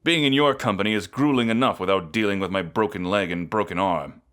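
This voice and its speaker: Male actor as wounded fantasy knight, deep voice, haughty, bratty, grumbling, haunted, reluctantly vulnerable, audio roleplay delivery. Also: brisk, fairly bright tone, close to an everyday voice. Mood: indignant, bratty. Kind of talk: scripted audio roleplay addressed to the listener.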